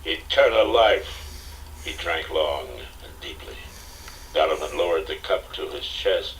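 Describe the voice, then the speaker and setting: A man's voice: a bass voice, fairly even intonation, measured pace, a bit bright. A man giving dramatic scripted narration of a story as an audiobook narrator. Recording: distant, noisy cassette audiobook recording.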